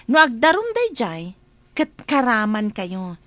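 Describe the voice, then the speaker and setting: Actress doing an indignant young woman, high voice, dry close sound. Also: sing-song, slow, a bit bright, no room sound, exaggerated voice. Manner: accusing, indignant. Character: indignant young woman defending her friend.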